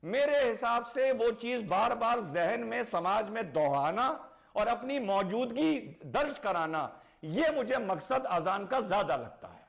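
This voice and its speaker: Slow, creaky, high-pitched man. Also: big pitch swings. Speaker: debate panelist. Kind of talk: opinionated debate commentary.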